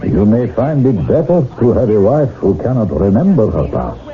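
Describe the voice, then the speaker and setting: An actor playing a suave, courtly, faintly sinister Italian financier in a 1970s radio drama, with an insinuating manner. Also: male voice, lowish voice, sing-song, normal speed, slight background noise. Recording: tinny, phone-like old radio broadcast.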